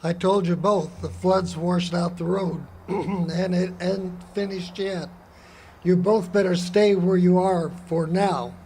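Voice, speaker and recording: An actor playing a crooked, bullying small-town sheriff in a live radio drama, gruff, folksy and domineering. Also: male voice, sits high, fairly even intonation, slow, warm tone. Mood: gruff, bossy. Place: live theater stage. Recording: noisy live amphitheater stage recording.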